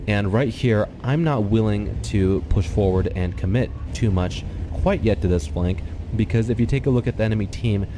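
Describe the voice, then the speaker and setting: Deep-voiced, congested man narrating a game replay. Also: noisy background. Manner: calm, explanatory, thinks aloud with ums. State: congested, slightly nasal. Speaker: gaming channel host. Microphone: desktop USB microphone.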